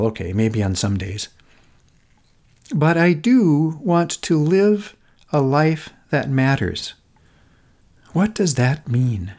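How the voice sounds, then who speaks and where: Reflective man with expressive, lively intonation. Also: a little high. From Minnesota, United States. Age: middle-aged. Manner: earnest, contemplative, rhetorical questions, deliberate phrasing in short pieces. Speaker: podcast host. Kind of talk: scripted reflective personal essay narration.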